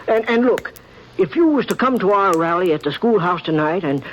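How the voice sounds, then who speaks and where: A fussy, stammering frontier doctor. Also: male voice, sits high, animated, a little fast, a bit bright. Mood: pleading. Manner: stammering, wheedling, flustered. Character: fussy, excitable old frontier town doctor. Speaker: town doctor. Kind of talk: 1950s radio drama acting. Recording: muffled vintage radio broadcast with hiss.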